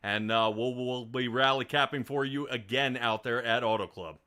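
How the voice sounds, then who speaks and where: A man's voice: mid-range voice, fairly even intonation, moderate pace, sharp tone. A man hosting a sim racing broadcast, interviewing a driver and rambling upbeat commentary with many restarts. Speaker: race broadcast host.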